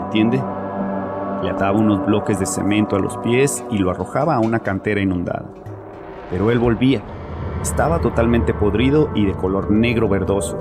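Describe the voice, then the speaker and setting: An actor playing a troubled, chauvinist bereaved father confessing in an audio horror drama, with a haunted, halting manner. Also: on the low side, natural voice. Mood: fearful, confiding. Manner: haunted, halting, repeats himself.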